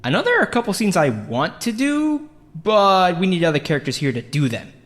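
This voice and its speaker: A man's voice: high voice, wildly swooping pitch, a little fast, fairly bright tone, exaggerated voice. A man playing an eager, chatty amateur stage actor in audio drama dialogue. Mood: eager, casual.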